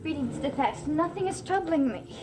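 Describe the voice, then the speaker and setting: A woman's voice: sits high, animated, a little fast, poor audio. A shy, nervous young woman. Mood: pleading. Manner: hesitant, timid, pleading. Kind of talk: 1970s soap opera acting.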